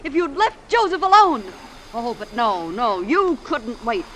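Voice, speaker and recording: An actress playing a cold, scheming young femme fatale with a sharp, scornful, theatrical delivery in a vintage radio drama. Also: female voice, fairly high pitch, very animated, unhurried. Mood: scornful, furious. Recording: noisy old radio drama recording.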